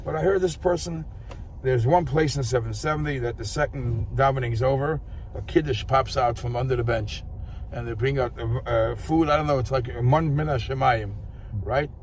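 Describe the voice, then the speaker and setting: A man giving an informal Torah talk, telling a story with many halting restarts and fillers. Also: mid-range voice, slight background noise. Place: outdoors in an early-morning street.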